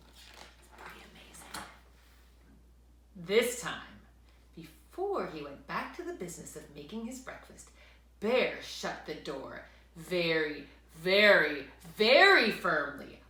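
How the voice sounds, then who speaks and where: Female children's read-aloud narrator: unhurried, very lively intonation, dramatic emphasis, room echo. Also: lowish voice. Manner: dramatic emphasis, drawn-out words, hushed asides. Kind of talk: expressive children's story reading with asides to listeners. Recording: echoey room.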